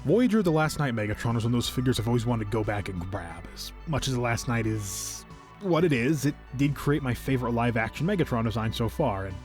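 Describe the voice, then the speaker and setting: A young man narrating a review in a casual, expressive, enthusiastic and conversational way. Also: medium pitch, fairly quick. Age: young adult. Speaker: video host, toy collector. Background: music.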